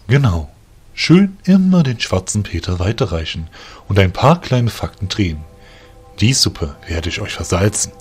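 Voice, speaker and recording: A man voicing a sly, stubborn young male faun in an audio drama, talking in a lively, husky way. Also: fairly low pitch, moderate pace, soft background music, pitched below the performer's own voice. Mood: scornful.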